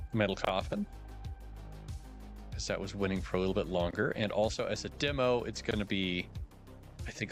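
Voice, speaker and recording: A slightly hoarse man casually commenting while playing a game on a live stream, thinking aloud with wry asides. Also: sits low. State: a little hoarse. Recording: background music under voice.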